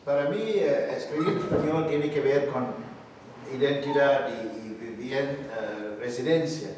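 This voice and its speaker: A poet answering audience questions in a public talk, speaking slowly and hesitantly with many restarts, Spanish not his first language. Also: mid-range voice, darkish tone. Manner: slow and halting, with many restarts. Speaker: invited poet. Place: large hall with an audience. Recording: echoey hall from far off, faint hiss.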